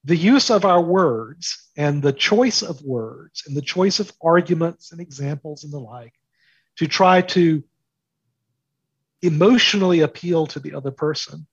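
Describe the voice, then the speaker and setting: A man's voice: a little high, animated, husky, no room sound, muffled recording. A man speaking as an interview guest, explaining his ideas emphatically and repeating phrases for stress. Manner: emphatic, with deliberate repetition for stress. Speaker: interview guest, author and commentator.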